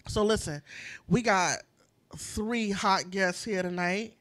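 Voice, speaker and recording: A woman hosting a podcast with lively, slangy, playful banter, often repeating phrases for emphasis. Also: mid-range voice, slow pace. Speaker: podcast host.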